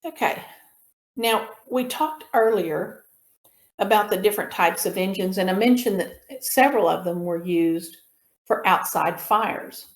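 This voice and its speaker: Slow-paced woman lecturing in an echoey room. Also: medium pitch. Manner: measured, explanatory, with pauses mid-sentence. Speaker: instructor. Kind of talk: informal lecture presentation with slides. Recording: roomy echo on the voice.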